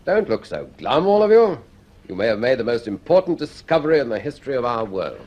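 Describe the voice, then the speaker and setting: An actor playing an urbane, authoritative senior scientist in a radio drama, with a deliberate, reassuring way of talking. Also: male voice, medium pitch, big pitch swings, a little mellow.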